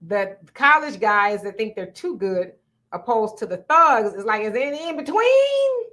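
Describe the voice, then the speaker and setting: A woman's voice: fairly high pitch, sing-song, moderate pace, sharp tone. A woman hosting a livestream, chatting frankly and playfully as she reads out viewer comments. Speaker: livestream host. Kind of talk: casual chat reading viewer comments.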